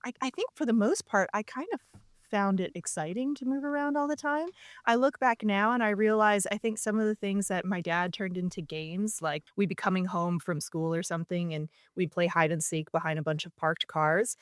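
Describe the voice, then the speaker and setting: A woman's voice: mid-range voice, normal speed, dry recording. A woman guest on an interview show, telling candid, self-deprecating stories and laughing often in casual conversation. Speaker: podcast guest, actor.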